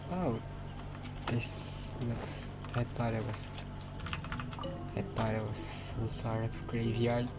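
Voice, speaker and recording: A woman gives casual gameplay commentary in a very deep voice. She speaks slowly, musing to herself as she plays, with loud game music underneath. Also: completely flat delivery. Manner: musing aloud. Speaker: game streamer.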